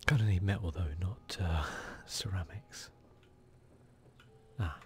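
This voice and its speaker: A man's voice: deep voice, takes it slowly, dark tone, a little hiss or hum. A man with a British accent giving casual, whispered ASMR commentary as he plays a video game. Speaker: gaming video host.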